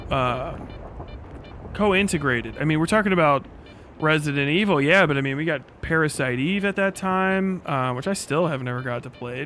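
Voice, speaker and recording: A man's voice: mid-range voice, fairly bright tone, slight background noise. A man in his thirties hosting a casual livestream, rambling and swearing freely as he comments. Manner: profane, rambling. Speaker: livestream host.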